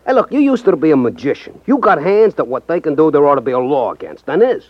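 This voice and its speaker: An actor playing a naive, know-it-all small-time hustler in a 1950s radio drama, talking in streetwise, ungrammatical slang. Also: male voice, a little high, sing-song, fast, no room sound. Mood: warning. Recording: dull vintage 1950s radio recording.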